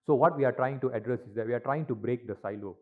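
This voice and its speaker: A man's voice: mid-range voice, quick pace. A middle-aged man giving a conference talk on stage into a handheld mic, with lots of "uh" and "right?" tags. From Malaysia. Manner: filler-heavy, frequent tag questions. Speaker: industry speaker at a conference. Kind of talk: presentation talk. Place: large conference hall stage. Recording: tinny, lo-fi hall recording.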